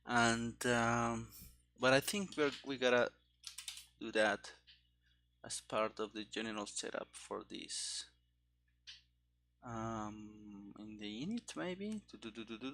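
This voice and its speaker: A man thinking aloud in a casual, halting mutter as he programs, talking partly to himself. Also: medium pitch, pushed and bright. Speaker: programmer narrating live coding. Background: computer keyboard typing and clicking.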